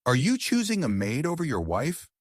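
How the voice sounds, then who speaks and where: A man's voice: a little high, wildly swooping pitch, normal speed, no echo, clean studio sound, voice pushed up. Man doing a cold scheming wife. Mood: defensive.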